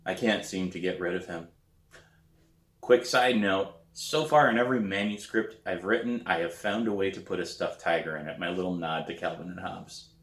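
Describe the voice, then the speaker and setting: A man reading a children's novel aloud as its author, in an animated storytelling manner. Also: low-pitched, moderate pace. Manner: animated, acts out dialogue. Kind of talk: expressive audiobook-style read aloud of a children's novel. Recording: roomy echo.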